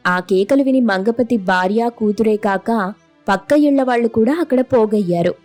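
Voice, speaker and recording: A woman's voice: a little high, normal speed, a little mellow, soft background music. A woman storyteller narrating a scripted moral tale.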